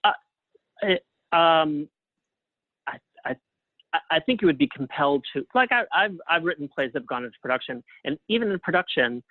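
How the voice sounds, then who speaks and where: A man's voice: fairly high pitch, expressive, dry recording. Man on a thin phone line. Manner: halting, thinking aloud. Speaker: guest playwright and librettist. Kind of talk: conversational interview answers. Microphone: phone or call line.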